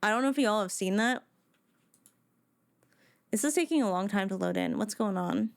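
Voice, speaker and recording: A woman's voice: mid-range voice, lively intonation, moderate pace, creaky. A woman game livestreamer chatting informally and casually with her viewers.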